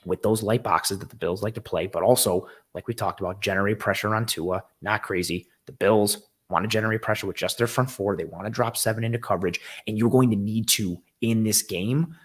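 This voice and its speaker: A man with a low, hoarse, raspy voice hosts a live sports stream. His voice is worn out, and he talks in an eager, rambling way, full of ums and restarts as he reads out viewer comments. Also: fairly quick, few pauses. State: hoarse, voice worn from a game the night before. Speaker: host of a football show. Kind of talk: casual live-stream sports analysis, reading chat comments.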